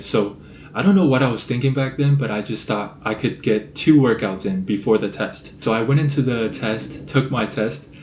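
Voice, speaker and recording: A young man chatting through his daily routine in a relaxed, storytelling way, filling his talk with "like", his voice deep in the morning. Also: roomy sound, slight background noise. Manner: relaxed storytelling, lots of "like" fillers. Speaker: vlogger. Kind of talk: casual day-in-the-life vlog talk.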